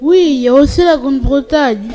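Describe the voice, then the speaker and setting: A woman voicing a polite, studious young schoolgirl in a children's animated film, with earnest, recited delivery. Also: sits high, fairly quick, faint music behind, close to an everyday voice.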